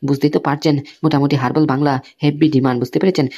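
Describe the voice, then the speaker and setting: Man acting a placating cartoon supplier, raised pitch, very rapid patter, repetitive, reassuring. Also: little pitch movement, mellow. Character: smooth-talking, placating male goods supplier. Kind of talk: comic cartoon dialogue.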